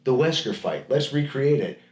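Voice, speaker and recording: A man's voice: mid-range voice, expressive, normal speed, a little mellow. Rambling man in an echoey room. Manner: rambling, many restarts and fillers. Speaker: podcast co-host. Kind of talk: casual chat.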